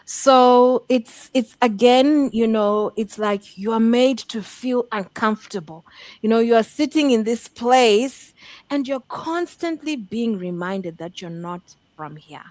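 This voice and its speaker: A woman's voice: on the high side, takes it slowly, darkish tone, dry recording. A woman hosting a podcast, speaking conversationally with many "you know" tags and fillers. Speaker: podcast host.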